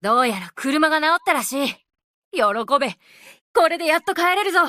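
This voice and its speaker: A woman's voice: high voice, very animated, racing, fairly bright tone, no room sound, clean studio sound. A woman voicing a worldly adult schoolteacher in a visual novel, speaking in a blunt, informal, tomboyish way. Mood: casual, relieved. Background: guitar game music.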